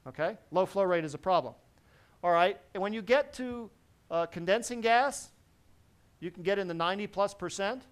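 A middle-aged man giving a technical lecture to a seated audience in a large conference hall, lively and constantly checking in with the listeners. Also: high voice, clean tone. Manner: lively, quizzes the audience with frequent "right?". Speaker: presenter at a technical symposium.

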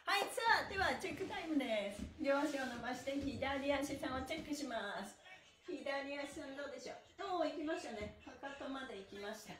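A woman's voice: sits high, expressive, brisk, slight background noise. A middle-aged woman cheerfully leading a livestream stretching class, encouraging and chatting with viewers, often with laughter in her voice. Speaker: stretching instructor and livestream host. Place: small indoor room with wooden floor. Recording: echoey bare room.

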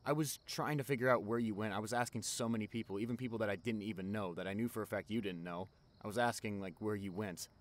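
A man's voice: on the low side, fast talker, close to an everyday voice. A young man playing a caring, chatty college best friend in an ASMR roleplay, talking casually and directly to the listener. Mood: casual, relaxed.

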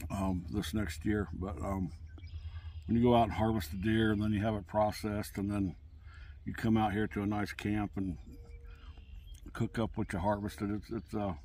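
A man's voice: on the low side, flat delivery, normal speed, darkish tone, slight background noise. Laid-back middle-aged man outdoors. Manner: rambling, laid-back, with many fillers and restarts. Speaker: camping vlogger. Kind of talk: casual outdoor cooking vlog. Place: campsite outdoors on open grass. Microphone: camera on a table nearby.

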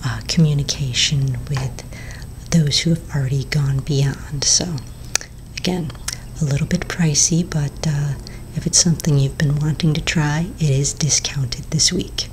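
A woman in her thirties whispering softly and slowly with hesitant fillers, playing a potion shopkeeper in an ASMR roleplay, recorded close to the mic in a small room. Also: low-pitched, steady tone, background noise. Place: small indoor room before shelves of bottles. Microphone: close studio mic in front.

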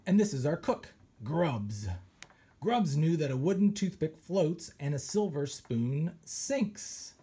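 A man, a children's author, reads a science picture book aloud with animated storytelling and pirate flair. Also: on the high side, slow pace, husky. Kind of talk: expressive read-aloud.

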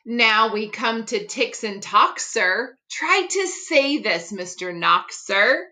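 A woman's voice: on the high side, lively intonation, slow pace, bright, forceful tone, full character voice. A woman voicing a playful, cheerfully bossy male fox from a children's picture book, coaxing in a sing-song way. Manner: coaxing, sing-song tongue-twister delivery.

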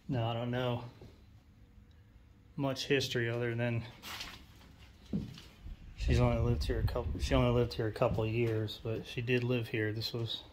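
A man's voice: mid-range voice, level tone, normal speed, pauses often. A man narrating a casual, off-the-cuff walk-through inside a small empty house, musing hesitantly into a handheld phone. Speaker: urban exploration vlogger. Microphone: handheld phone behind the camera. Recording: noisy handheld recording with clicks.

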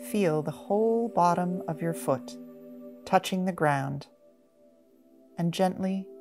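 A woman guiding a meditation in a gentle, measured manner, with long pauses between short phrases. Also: low voice. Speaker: mindfulness meditation guide. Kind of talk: guided body-scan meditation.

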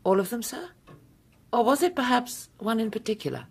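An actress playing a cold, proud Victorian widow in a radio drama, formal and curt. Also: female voice, on the high side, big pitch swings, normal speed, husky, full character voice. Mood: icy, dismissive.

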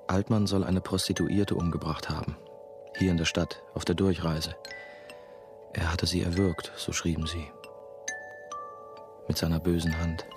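Very deep-voiced brooding narrator. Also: male voice, level tone, over music. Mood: brooding, confiding. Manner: measured, confiding, terse. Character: brooding young former novice, haunted and obsessive. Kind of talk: first-person radio drama monologue.

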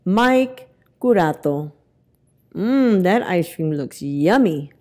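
Casting: middle-aged woman, children's storytime reader, animated pitch, unhurried delivery. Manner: expressive, deliberate reading to young children. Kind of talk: children's picture book read-aloud. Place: indoors in a library, among bookshelves. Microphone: lapel mic.

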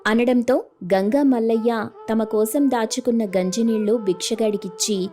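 A woman reads scripted narration for an animated moral tale. Her voice is mellow and a bit high. Light music plays under her storytelling. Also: normal speed.

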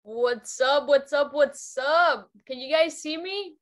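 A woman's voice: high voice, slow, a bit bright. A young woman from Cuba, speaking as a guest educator in an upbeat, casual way on a video call from her bedroom, often repeating and restarting words. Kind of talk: casual motivational talk. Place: bedroom at home.

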